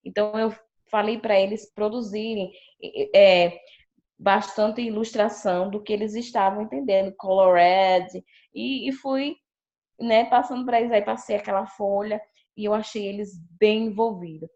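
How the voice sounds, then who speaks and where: A woman's voice: mid-range voice, moderate pace. A woman teacher with a Brazilian Portuguese accent, chatting about her classroom and acting out her pupils' quoted remarks. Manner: chatty, animated retelling with quoted pupil voices. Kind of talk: sharing classroom experience on a video call. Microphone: video call. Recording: dull lo-fi video call audio.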